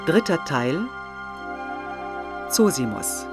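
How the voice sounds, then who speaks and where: A man's voice: a little high, sing-song, extremely slow, loud music behind the voice. A man announcing a radio play, reading scripted titles and credits.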